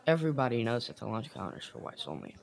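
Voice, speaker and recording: A woman's voice: a bass voice, sing-song, rapid-fire, vocal fry, heavily acted. A woman acting firm store manager and reporter parts in an amateur radio drama. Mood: firm, defensive. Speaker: student actor in a class radio drama. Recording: music playing behind the voice.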